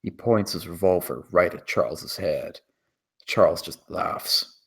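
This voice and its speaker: A man's voice: deep voice, expressive, unhurried, gravelly, fairly bright tone, slightly rough. A man reading scripted screenplay action as the narrator.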